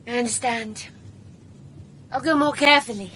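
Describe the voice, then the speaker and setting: A high female voice, playing a cool and composed woman motorist in a radio play. Her delivery is dry and controlled, with faint noise and ambience behind it. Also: moderate pace, sharp tone. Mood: cool, polite. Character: cool, composed young woman driver stopped at a border. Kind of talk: radio drama acting.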